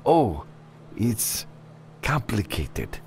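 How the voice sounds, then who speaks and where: A man's voice: fairly low pitch, very animated, drawn-out words, darkish tone, a little hiss or hum, voice pushed up, full character voice. A man voicing a nervous, evasive male client in an audiobook, hesitant and stammering. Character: nervous, evasive short round male client, Spanish-speaking.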